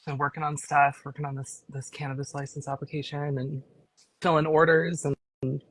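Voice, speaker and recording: A woman, slightly raspy from a cold, talking casually as a grower and consultant in a livestream interview, with many fillers. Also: deep voice, flat delivery, moderate pace, low-quality recording.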